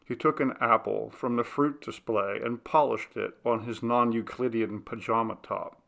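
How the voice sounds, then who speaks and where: A man reading a literary short story aloud as narration, with a gravelly voice. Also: mid-range voice, steady tone, moderate pace, fairly bright tone. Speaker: audiobook reader.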